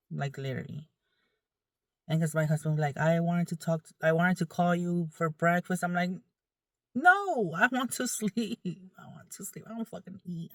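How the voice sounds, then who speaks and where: A woman's voice: deep voice, no echo. Woman chatting casually, very dry sound. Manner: rambling, candid, conversational. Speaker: vlogger. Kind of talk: casual chat to viewers.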